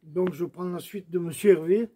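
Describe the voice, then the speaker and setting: A man's voice: high-pitched, normal speed, warm tone, husky. A man speaking as a guest in an explanatory commemorative talk to camera. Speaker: guest, association president. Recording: faint background ambient noise.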